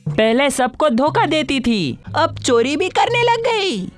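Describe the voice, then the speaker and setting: A woman's voice: high-pitched, big pitch swings, slow, soft background music, exaggerated voice. A woman voicing a meek but cheeky male servant of a landlady in a story, eagerly reporting to the boss. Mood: cheeky grumbling.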